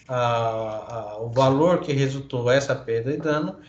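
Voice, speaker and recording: A man's voice: medium pitch, unhurried, muffled recording, slight background noise. Brazilian man lecturing over echoey call audio. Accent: Brazilian Portuguese. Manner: explains with many fillers and tag questions. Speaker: law professor. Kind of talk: online lecture reading and explaining legal articles.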